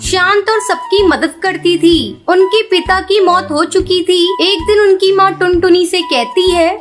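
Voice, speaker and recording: Very high-pitched woman narrator. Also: fairly even intonation, unhurried. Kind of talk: scripted children's story narration. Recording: music behind the voice.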